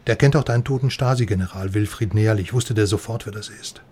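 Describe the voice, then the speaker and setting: An actor playing an East German go-between who talks casually and briskly in a radio crime drama. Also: male voice, fairly low pitch, dark tone, slightly rough. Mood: casual, furtive. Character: brisk East German acquaintance arranging a secretive meeting.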